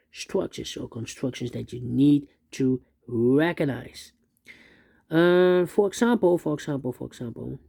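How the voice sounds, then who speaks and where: Male host for a step-by-step puzzle lesson; relaxed, gravelly tone, mid pitch, halting speech with repeated phrases. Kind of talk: step-by-step spoken tutorial, unscripted.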